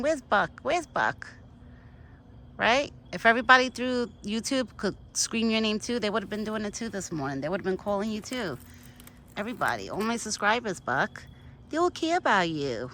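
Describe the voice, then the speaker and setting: A woman's voice: animated, moderate pace, a bit bright, slight background noise. Chatty woman cooing at a deer. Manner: chatty, switches to high sing-song baby talk for the deer. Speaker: vlogger talking to a wild deer. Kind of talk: casual chatty vlog. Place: outdoors in a yard.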